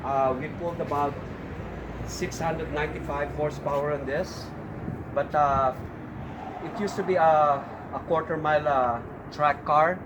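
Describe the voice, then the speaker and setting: A middle-aged man with a Filipino accent, owner of an auto shop, talking casually and haltingly with many fillers and restarts as he tours his shop. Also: fairly high pitch, steady tone. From Philippines. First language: a Philippine language. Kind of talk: casual shop tour vlog. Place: outdoor auto shop lot. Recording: outdoor street and traffic noise.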